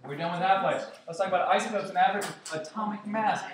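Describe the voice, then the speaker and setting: A man's voice: high voice, fast talker, far from the mic. A man teaching a chemistry review lesson to his class, playful and joking with students. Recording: echoey classroom with noise.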